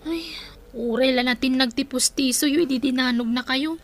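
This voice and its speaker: A woman's voice: high-pitched, normal speed, soft background music. A woman playing an outspoken, exasperated daughter in a Filipino radio drama, with an Ilocano accent. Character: exasperated, outspoken grown daughter of a troubled family.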